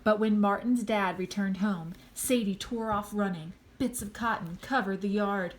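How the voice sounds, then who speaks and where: A woman reading a children's storybook aloud expressively, pausing to speak to a young listener. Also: mid-range voice, a little hiss or hum, low-quality recording. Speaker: storybook reader.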